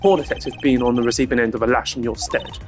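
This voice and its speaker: A man's voice: medium pitch, steady tone, fast, natural voice. A man voicing a stern, sardonic prosecutor in a mystery game, speaking formally, precisely and cuttingly. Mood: composed, probing. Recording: game music behind the voice.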